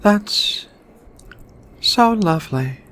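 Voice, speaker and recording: A woman playing a shy, flirty, affectionate alien in a soft-spoken, hesitant ASMR roleplay. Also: mid-range voice, animated. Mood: tender, pleased. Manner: hesitant, bashful, murmuring with many pauses. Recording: quiet music behind the voice.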